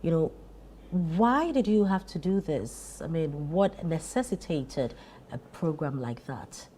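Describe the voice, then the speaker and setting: A woman's voice: low voice, lively intonation, slow, mellow, slight background noise. A woman hosting a TV interview, asking questions and giving frequent affirming murmurs.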